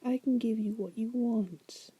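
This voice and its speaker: A woman acts out a seductive demoness locked in a cage, in an intimate ASMR roleplay. The voice is very dark and heavily breathy, slipping often into a whisper. The manner is wry, patient and teasing, musing aloud as bargains are dangled. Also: fairly high pitch, unhurried. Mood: seductive, coaxing. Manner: teasing, musing, drawling asides with soft chuckles. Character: wry, seductive female demon prisoner, patient deal-maker.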